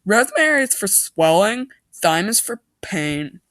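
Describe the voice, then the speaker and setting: A man playing overwrought teenage characters from an amateur survival story in a hammy, shouty, mock-melodramatic way. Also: high-pitched, big pitch swings, unhurried, no echo, pitched above the performer's own voice, heavily acted. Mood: urgent bossiness.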